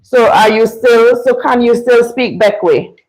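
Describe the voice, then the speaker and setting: A woman from Saint Vincent with a Vincentian accent, hosting a casual live chat, easygoing and quick to laugh. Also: fairly high pitch, a little mellow, low-quality recording. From Saint Vincent and the Grenadines. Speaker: live stream host.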